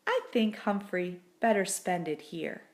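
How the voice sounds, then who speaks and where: A kindly older married woman from a children's storybook, voiced by a woman reader. She sounds caring and gently fussing, with an animated, questioning way of talking. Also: mid-range voice, a little slow, lightly acted. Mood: gently teasing.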